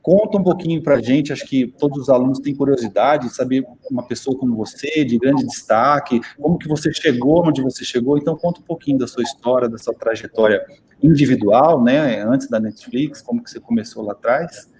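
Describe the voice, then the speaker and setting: A man's voice: mid-range voice, brisk, a little mellow, a little hiss or hum, low-quality recording. A man hosting a virtual interview for students, speaking with hesitant repeats and many fillers. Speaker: interview host. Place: virtual studio.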